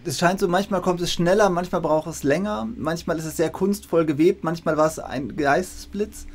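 A man's voice: a little high, normal speed. A middle-aged man hosting a conversational interview over a video call. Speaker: interviewer, host. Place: room with bookshelves, video call. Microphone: shared video call microphone.

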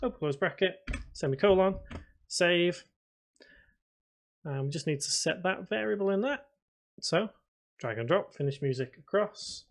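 A man with a slightly high voice presents a screen tutorial in a casual way. He talks through each step as he works, thinking aloud and addressing his viewers informally. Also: animated, unhurried, pauses often. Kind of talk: casual software tutorial narration. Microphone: voice-over recorded at a computer.

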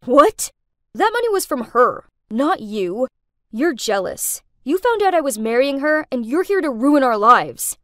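A woman doing gruff young boyfriend, guarded, curt, aggrieved, dry close sound, story drama. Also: sits high, sing-song, a little slow, fairly bright tone, dry recording, exaggerated voice. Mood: angry, accusing.

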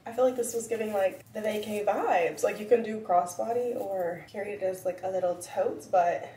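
A young woman in her twenties chatting casually to camera for her vlog, her voice a little raspy from a lingering cold, rambling with lots of fillers as she eats. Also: mid-range voice, slight background noise. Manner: chatty, filler-heavy, talks while eating. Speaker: vlogger. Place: home kitchen and walk-in closet. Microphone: camera set on counter at arm's length. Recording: echoey room, somewhat far from mic.

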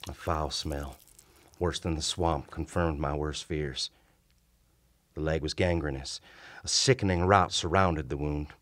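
A haunted Civil War lieutenant in an old-time radio horror drama. His low voice tells the tale gravely and deliberately, in formal journal language, with music clearly behind him. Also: fairly even intonation, fairly bright tone, no echo. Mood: dread, despair. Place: small radio studio. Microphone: vintage-style studio microphone at close range.

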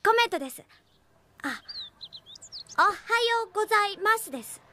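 A woman's voice: very high-pitched, expressive, moderate pace, bright, forceful tone, a little hiss or hum, full character voice. A woman voicing a naive, curious young princess in a cartoon, with a guileless, airy manner. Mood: polite. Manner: guileless, airy, wide-eyed. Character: young girl princess from another star, naive, dreamy, curious. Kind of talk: animated cartoon acting. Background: music.

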